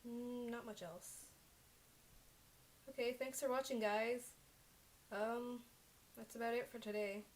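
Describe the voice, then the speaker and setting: A woman's voice: fairly high pitch, fairly even intonation, slow pace, long pauses, a little hiss or hum, poor audio. A young woman whose first language is Chinese, talking to herself as she checks her study answers on a casual livestream into a desk microphone, often correcting herself.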